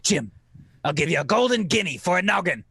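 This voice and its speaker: Casting: man as ailing old sea captain, radio play. Husky, fairly high for a man, salty, rum-craving, desperate. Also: big pitch swings, fairly quick, sharp tone, no room sound, full character voice. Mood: pleading. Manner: pleading, salty seafaring speech.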